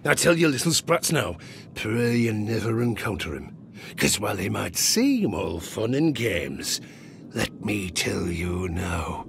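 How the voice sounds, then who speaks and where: Gravelly old sailor spinning yarns. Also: male voice, on the low side, very animated, fairly bright tone, a little hiss or hum, exaggerated voice. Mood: grave, bitter. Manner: theatrical, rustic dialect, drawn-out suspenseful phrasing with chuckles. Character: weathered old male sailor, superstitious ex-harpooner, yarn-spinning and haunted. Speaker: storyteller in an audio drama. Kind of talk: dramatic first-person tale-telling, audio drama.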